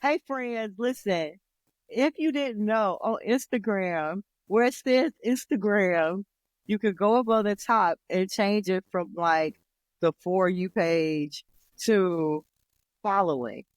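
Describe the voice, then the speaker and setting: A woman's voice: mid-range voice, slow pace, no room sound. An American woman co-hosting a podcast, chatting casually in a blunt, slangy way. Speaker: podcast co-host. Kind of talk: casual girl-talk chat.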